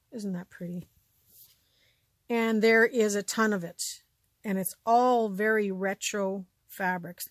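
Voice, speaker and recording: A woman from Canada with a slightly scratchy voice talks casually while she shows things off, her pitch sitting in an ordinary middle range. She often drifts into quieter asides before picking up again. The recording is dry and close, with no room sound. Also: no echo. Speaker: vlogger showing a thrift haul. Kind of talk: casual show-and-tell chat.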